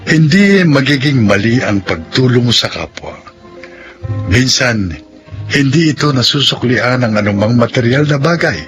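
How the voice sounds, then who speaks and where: A man's voice: mid-range voice, expressive, a little slow, fairly bright tone, soft background music. A man with a Filipino accent giving solemn, moralizing narration as the host of a radio drama.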